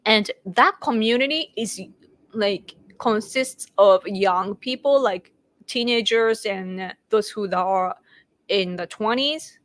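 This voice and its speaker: A woman's voice: mid-range voice, slow pace, a bit bright, no room sound. A Japanese woman in her late thirties with a Japanese accent, chatting casually on a live stream and laughing often as she answers viewers. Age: late 30s. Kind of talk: casual chat.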